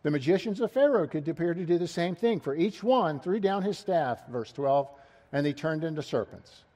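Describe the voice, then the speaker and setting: A man's voice: on the high side, animated, moderate pace, dark tone. A middle-aged man preaching an expository sermon, asking his listeners rhetorical questions and pressing key words with rising emphasis.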